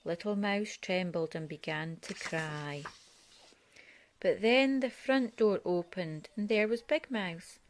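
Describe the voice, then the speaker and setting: A woman's voice: on the low side, slow pace. A woman narrating a children's picture book aloud as a storyteller.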